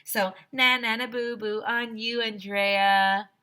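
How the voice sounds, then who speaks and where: A woman's voice: fairly high pitch, fairly even intonation, takes it slowly, sharp tone, clear, resonant tone, voice pushed up. A woman voicing a cheeky, wisecracking schoolboy who blurts and taunts, reading from a children's storybook. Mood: taunting.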